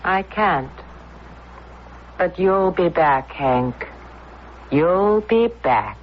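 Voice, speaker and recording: A woman playing a ruthless, seductive lover in a radio drama, deliberate, insistent and coolly commanding. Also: lowish voice, animated. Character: seductive, ruthless, world-hungry scheming lover. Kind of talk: old-time radio drama acting. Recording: dull lo-fi radio recording, faint noise.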